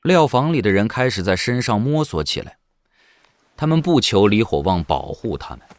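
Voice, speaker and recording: A man's voice: fairly low pitch, very animated, moderate pace, no room sound, studio quality. A man reading scripted, dramatic narration for a fantasy audio drama.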